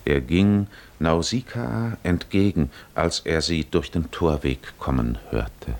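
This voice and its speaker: An actor playing a solemn storyteller, narrating an ancient epic with deliberate drama in a 1950s German radio play. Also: male voice, a bass voice, darkish tone, heavily acted.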